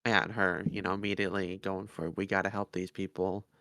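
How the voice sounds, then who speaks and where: A man chats casually as a podcast co-host. His voice sits fairly low and crackles with fry. The recording is dry, with no sense of a room. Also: level tone, fairly quick, a bit bright, dry recording. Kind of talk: casual chat.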